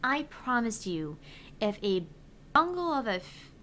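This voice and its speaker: A bright, sparkly-toned female app assistant played by a woman guides a player through a visual novel game. She is chirpy, playful and encouraging, with big swoops in pitch. There is noticeable background noise. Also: sing-song, measured pace, exaggerated voice. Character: perky, reassuring female phone app assistant. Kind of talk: reading visual novel game dialogue aloud.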